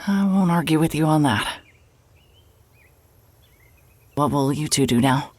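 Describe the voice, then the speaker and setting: A woman's voice: deep voice, a little fast, a little mellow, no room sound. An actress playing a weary, wry, guarded woman investigator in an audio drama, speaking in a hesitant, dry way. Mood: weary, resigned.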